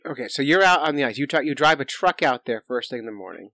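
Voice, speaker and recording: Man, mid-pitched voice, podcast interviewer, casual chat, joking, informal, dry recording. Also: expressive, quick pace, pushed and bright, no room sound.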